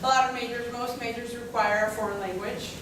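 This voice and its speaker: A woman's voice: fairly high pitch, fairly even intonation. Chatty young woman from Russia in an echoey room. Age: young adult. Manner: chatty, frequent fillers, quick asides. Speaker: panelist. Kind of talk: casual personal story on a panel. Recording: echoey room, far from mic, background noise.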